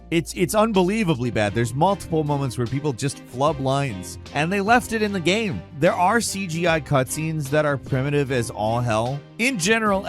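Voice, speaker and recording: A male video host talks through a countdown in a mid-range voice. His commentary is casual and rambling, laced with sarcastic exaggeration, with music playing faintly behind him. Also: mid-range voice. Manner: rambling, sarcastic joking hyperbole.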